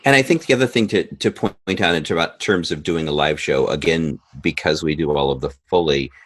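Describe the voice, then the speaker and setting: Man, very low pitch, husky, conversational, interview guest. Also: a little fast. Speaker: interview guest, audio drama creator.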